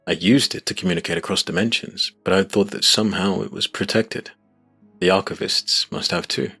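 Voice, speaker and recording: A man reading scripted first-person fiction as narrator, in a grave, measured, dramatic way. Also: low-pitched, creaky, fairly bright tone, husky.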